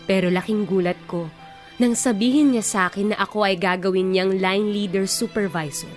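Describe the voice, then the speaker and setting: A woman narrates a first-person testimony in a scripted radio drama style. Her pitch is medium, and music plays softly behind her. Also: steady tone, moderate pace.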